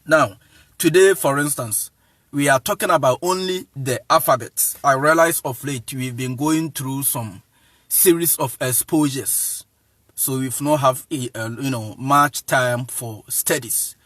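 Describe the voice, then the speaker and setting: A man's voice: mid-range voice, measured pace, a bit bright, no echo. A man with a Ghanaian accent giving an informal lecture to viewers in an emphatic, repetitive way, phrase by phrase. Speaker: online evangelist and teacher.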